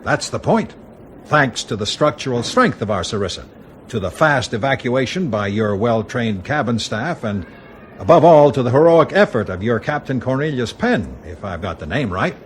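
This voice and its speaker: A man playing a genial, smooth-talking American sales executive in a radio drama, effusive and formally courteous. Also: medium pitch, very animated, normal speed, slight background noise, natural voice. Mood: smooth, proud.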